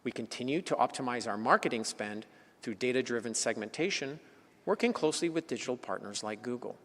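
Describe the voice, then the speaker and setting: A man presenting as an insurance executive, reading measured points and stressing each new item. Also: medium pitch, animated, a bit bright. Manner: measured, enumerating points, rising emphasis on openers. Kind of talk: scripted corporate presentation. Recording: long hall reverb.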